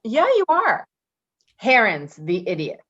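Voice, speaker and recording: An actress plays a tough ex-convict woman in a stage drama. She is blunt and quick to bristle, her pitch moving widely, on a slightly dull recording. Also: huge pitch swings, fairly bright tone, slight background noise, full character voice. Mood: reassuring. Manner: blunt, combative, defensive. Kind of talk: dramatic play reading, naturalistic dialogue.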